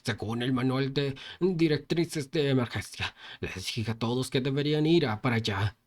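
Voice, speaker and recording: A man's voice: mid-range voice, moderate pace, natural voice. Man doing a dying, guilt-ridden teacher. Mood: anguished. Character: dying wounded male teacher, guilt-stricken.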